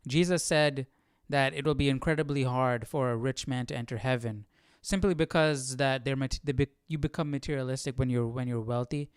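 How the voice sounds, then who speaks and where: A man's voice: medium pitch, a little fast, hardly any pauses, clean tone, dry recording, clean studio sound. A man hosting a live Bible study stream, thinking aloud in casual, reflective commentary and checking in with listeners. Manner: thinking aloud, often asking "right?".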